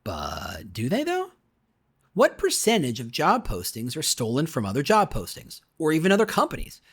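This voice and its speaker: A man's voice: fairly high pitch, wildly swooping pitch, normal speed, fairly bright tone, breathy edge. A man reading his own nonfiction book in a conversational way, with rhetorical questions and emphatic asides. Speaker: author reading own audiobook. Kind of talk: conversational scripted nonfiction narration.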